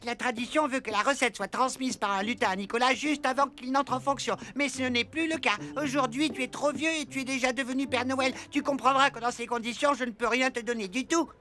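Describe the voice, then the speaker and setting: Bright, high-pitched little Christmas elf. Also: female voice, a little fast, hardly any pauses. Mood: earnest, firm. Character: small, earnest, fussy old-fashioned male Christmas elf. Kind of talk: French children's cartoon dubbing.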